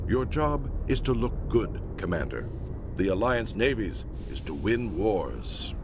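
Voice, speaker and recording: Gruff low-voiced space-navy admiral. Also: male voice, very animated, measured pace, noisy background. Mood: grudging, firm. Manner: gruff, blunt, sardonic, commanding. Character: stern, disapproving middle-aged male space-navy admiral. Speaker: rear admiral character. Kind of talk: video game dialogue.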